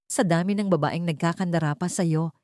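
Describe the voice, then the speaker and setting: A woman's voice: fairly low pitch, fairly quick, a little mellow, dry recording, studio quality, lightly acted. A woman voicing a cocky young male college student, casual and slangy, in an audio story. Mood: teasing.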